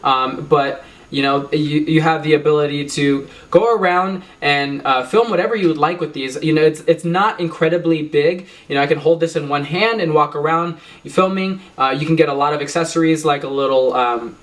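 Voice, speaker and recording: A man's voice: on the high side, normal speed, few pauses, fairly bright tone, recorded from a distance. Chatty young man vlogging from a home office. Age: young adult. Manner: chatty, many fillers like "you know" and "um". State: recovering from a cold. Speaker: YouTube vlogger. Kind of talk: casual gear review to camera. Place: small home office, indoors.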